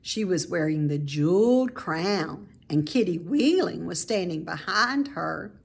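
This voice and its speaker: A woman reading a children's story aloud expressively, with dramatic, drawn-out emphasis on key words. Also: lowish voice, creaky, fairly bright tone, breathy edge. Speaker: storybook reader.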